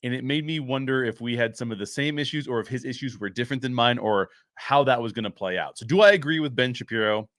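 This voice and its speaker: A man hosts a casual commentary show on his own. His voice is medium in pitch with a bright, forward edge, recorded very dry. He speaks emphatically and likes to repeat a phrase to stress it. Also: fast, few pauses, no room sound. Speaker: show host and story writer.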